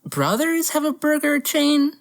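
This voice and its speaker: A woman's voice: high voice, very animated, unhurried, gravelly. A woman co-hosting a podcast, chatting casually about movie news in a playful, teasing way. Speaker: podcast co-host.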